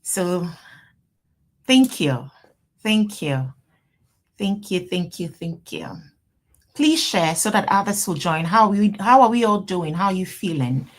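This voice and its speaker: A woman from Ghana with a Ghanaian accent, an attorney hosting a live Q&A stream from her office, greeting viewers warmly and repeating herself as they join. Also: on the low side, lively intonation, measured pace, pauses often. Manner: upbeat, repetitive, greeting viewers by name and place. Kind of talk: casual livestream chat.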